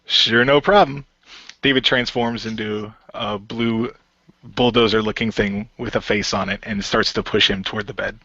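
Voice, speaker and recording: A man's voice: fairly low pitch, level tone, normal speed, pushed and bright, no room sound, highs cut off. A man giving improvised narration of his character's actions in an amateur radio play.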